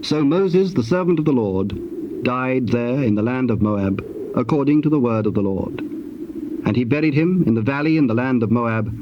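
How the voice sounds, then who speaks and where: Solemn male narrator on noisy tape. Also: mid-range voice. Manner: solemn, measured. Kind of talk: scripted dramatized scripture narration. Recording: muffled old tape with clear noise.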